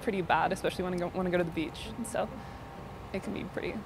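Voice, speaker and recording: A woman's voice: lowish voice, fairly even intonation, racing, a little hiss or hum. A woman contestant talking plainly and easily about herself in a casual group chat. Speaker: game show contestant.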